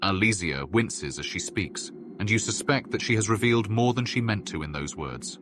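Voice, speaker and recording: A man narrating a fantasy game quest as a storyteller, in measured, literary sentences. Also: lowish voice, animated, background noise, lightly acted. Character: male second-person storyteller of a fantasy quest.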